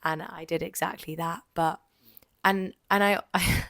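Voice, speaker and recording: Low, croaky young woman chatting candidly. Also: fairly even intonation, a little slow, fairly bright tone, dry recording, studio quality. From Jersey. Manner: candid and chatty, with lots of ums, likes and swearing. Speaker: podcast host. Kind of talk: casual solo podcast monologue.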